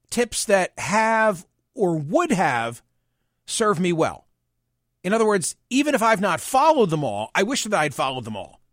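A man in his sixties, hosting his own show, musing in a measured, wry monologue. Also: high voice, a bit bright, dry recording, studio quality. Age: 60s. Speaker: podcast and radio host. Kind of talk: reflective personal monologue.

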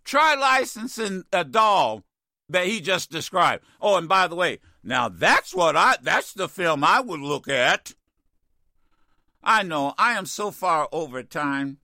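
A man hosting a talk radio show, ranting in an animated, exasperated way with many stammered restarts, his voice getting worn. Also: sits high, takes it slowly, no room sound, clean studio sound. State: losing his voice. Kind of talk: opinionated talk radio rant.

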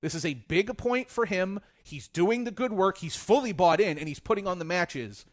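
A man's voice: high voice, expressive, moderate pace. A man co-hosting a podcast, talking about pro wrestling with lots of enthusiasm. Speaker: podcast co-host. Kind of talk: casual chat, wrestling commentary.

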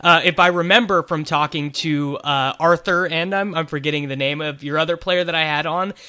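Podcast host, male, bright and fairly high-pitched, eager and rambling. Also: steady tone, brisk, smooth, dry recording. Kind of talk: casual interview.

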